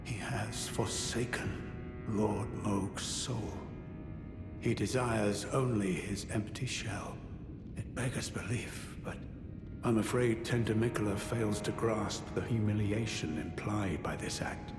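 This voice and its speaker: An actor playing an aging, honorable warrior knight in a fantasy game, speaking in a measured, formal, ruminative way. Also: male voice, lowish voice, steady tone. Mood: grave, bitter. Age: older. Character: aging honorable male warrior knight, weary, grave, courteous. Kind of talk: dramatic fantasy video game dialogue. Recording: echoey hall with long reverb, music behind.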